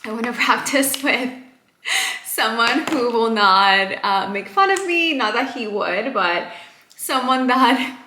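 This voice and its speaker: A woman's voice: a little high, lively intonation, slow, sharp tone, a little hiss or hum. A woman whose first language is Spanish, chatting to camera at home in an upbeat, encouraging way as a lifestyle vlogger. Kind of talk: casual chat to camera. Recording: roomy echo.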